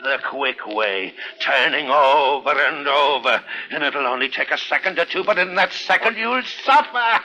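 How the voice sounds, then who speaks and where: A man's voice: a little high, lively intonation, normal speed, hardly any pauses, bright, forceful tone. A man in a 1940s radio drama playing a crazed, grieving father bent on revenge, with a gloating, unhinged delivery. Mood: gloating madness. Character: crazed vengeful grieving father, a male bridge worker. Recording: noisy old 1940s radio recording.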